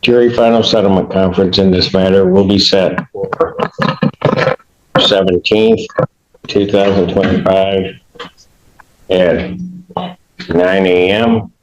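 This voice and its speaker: A male judge with a low voice handles court business in a formal, insistent way, pressing for decisions. The courtroom recording is dull and lo-fi, with steady noise behind him. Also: slow pace, long pauses. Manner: procedural, insistent. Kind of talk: courtroom proceedings, formal questioning. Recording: dull, noisy courtroom microphone audio.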